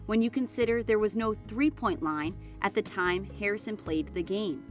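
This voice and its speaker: A woman's voice: medium pitch, normal speed. Female TV sports anchor, music behind. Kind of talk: scripted TV sports news narration. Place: TV broadcast.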